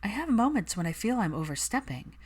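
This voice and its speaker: A woman's voice: mid-range voice, big pitch swings, brisk, slightly rough, voice pushed up. A woman voicing an earnest, strong-willed young witch with a firm, argumentative manner in audiobook dialogue.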